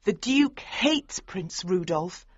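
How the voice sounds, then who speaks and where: Male narrator putting on the defiant, high-pitched young daughter, slow and pointed, lo-fi read. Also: lively intonation, breathy edge, no echo, exaggerated voice. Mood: indignant. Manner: defiant, insistent. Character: angry outspoken young woman, hotel owner's daughter. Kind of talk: audiobook character voicing. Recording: dull lo-fi recording.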